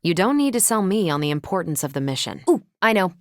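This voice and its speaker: Low-voiced, wisecracking superhero woman. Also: very animated, fast talker, no room sound, studio quality. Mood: wry, casual. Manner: wry, casual, quippy. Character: confident wisecracking female superhero soldier, adult, brash but friendly. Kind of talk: video game voice acting.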